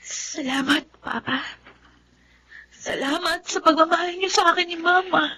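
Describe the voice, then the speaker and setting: A girlish, affectionate daughter in a radio drama. Her high voice is tender and earnest, full of feeling and gratitude. Some noise sits behind the recording. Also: unhurried, sharp tone, full character voice. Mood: grateful, earnest. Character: affectionate, grateful adopted daughter, girlish and eager. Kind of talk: Tagalog radio drama acting.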